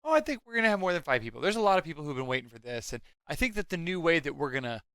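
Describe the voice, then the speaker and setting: A man's voice: on the high side, sing-song, rapid-fire, sharp tone, smooth, no room sound, studio quality. A man chatting casually and supportively as co-host of a tutorial.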